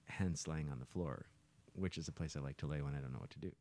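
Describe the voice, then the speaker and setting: A man in his fifties telling stories casually on a podcast, halting and emphatic as he goes. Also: rumbling low, dark tone. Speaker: musician and podcast co-host. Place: small home living room.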